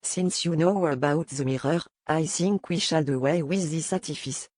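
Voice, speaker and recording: A woman's voice: low-pitched, darkish tone, no echo. Woman voicing a cold, controlling male captor. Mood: menacing. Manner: formal, measured, threatening. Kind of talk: dramatized audiobook character dialogue.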